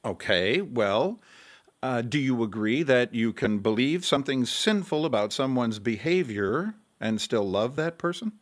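An actor playing a patient pastor leading a Bible study in an audio drama, earnest and explanatory. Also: male voice, medium pitch, animated, unhurried, close to an everyday voice.

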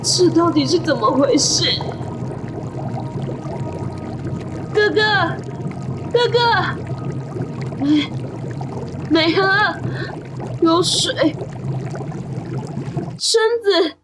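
A woman's voice: very high voice, animated, very slow, long pauses, noisy background, exaggerated voice. A woman playing a curious, easily frightened young teenage boy in an audio drama. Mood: panicked. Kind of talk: Mandarin audio drama acting.

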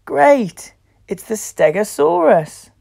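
A woman reading a picture book aloud to young children as host, expressive, playful and encouraging. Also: a little high, takes it slowly, vocal fry, dry recording, clean studio sound. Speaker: children's storybook reader and host.

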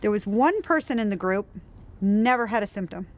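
A woman's voice: mid-range voice, expressive, moderate pace. A woman, a study participant, chatting casually and upbeat in an interview outdoors in her driveway.

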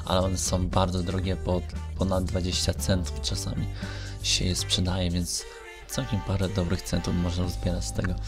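A man's voice: deep voice, monotone, moderate pace. A young man giving casual, unscripted gaming commentary in a hesitant, rambling way, often correcting himself. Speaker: gaming vlogger. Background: music.

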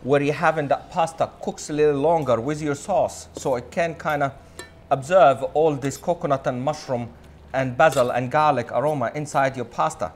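High-voiced man, enthusiastic showman cook. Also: normal speed, a little hiss or hum. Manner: enthusiastic, showy, addresses audience as a showman. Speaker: chef hosting a cooking show. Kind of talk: cooking demonstration to camera. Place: indoor modern studio kitchen. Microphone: lapel mic.

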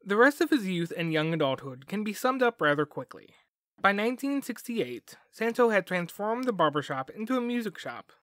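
Man, podcast host, higher pitch, scripted documentary narration, wry, dry close recording. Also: fairly bright tone, dry recording.

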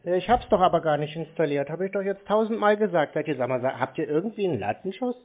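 A man's voice: on the high side, animated, fast talker. A man playing dumb on a phone call with scammers, turning mocking and irritated. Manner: mock-naive, then mocking and irritated. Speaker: scam-baiting call recipient. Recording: tinny phone line.

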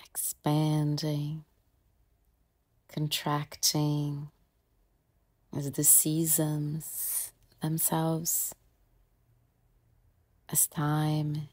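A woman guiding a meditation in a calm, gentle way, leaving long pauses between short phrases. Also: deep voice. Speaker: meditation guide. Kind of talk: guided meditation, soft and soothing instruction.

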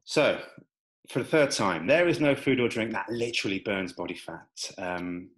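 A man with a fairly low voice walks through nutrition slides in an easygoing way. He restarts sentences often and leans on fillers. He records at a desktop mic in a small indoor room. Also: normal speed. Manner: relaxed, many fillers and restarts. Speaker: nutrition coach. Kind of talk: talking over slides, casual explainer.

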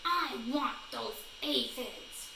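A woman's voice: high-pitched, drawn-out words, vocal fry, recorded from a distance, exaggerated voice. A woman voicing a grouchy, bossy, combative ladybug from a children's storybook. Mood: demanding. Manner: curt, combative. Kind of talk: children's storybook read-aloud. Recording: echoey room, noisy home recording.

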